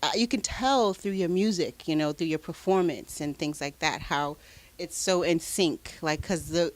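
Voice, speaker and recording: A woman's voice: low voice, normal speed. A woman hosting an interview show, asking long, rambling questions full of fillers and laughing easily. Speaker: talk show host. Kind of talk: casual interview.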